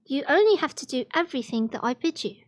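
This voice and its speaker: A woman's voice: high voice, lively intonation, fast talker. A woman voicing a scheming male wandering fiddler who gives curt commands in a storybook tale.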